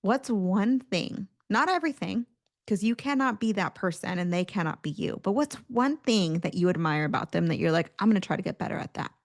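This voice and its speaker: A woman's voice: medium pitch, lively intonation, brisk. Chatty woman career coach. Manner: conversational, encouraging, lots of "like" and "you know". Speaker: career coach, podcast host. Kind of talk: casual podcast monologue.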